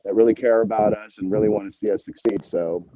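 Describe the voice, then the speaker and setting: A man's voice: on the low side, fairly even intonation, fast, dull audio. An American man chatting casually as an interview guest, in a rambling, informal way. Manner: rambling, informal, peppered with "you know" and "man". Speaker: band musician, interview guest.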